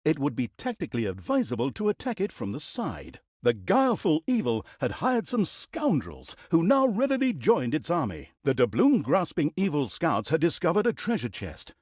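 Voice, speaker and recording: A man's voice: a little high, huge pitch swings, moderate pace, hardly any pauses, a little mellow, breathy edge, dry recording, clean studio sound, full character voice. An actor playing a wry, mocking male storyteller in a fantasy video game, narrating in a theatrical, mock-grand manner with sardonic, teasing asides. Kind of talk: scripted storybook-style comic fantasy narration.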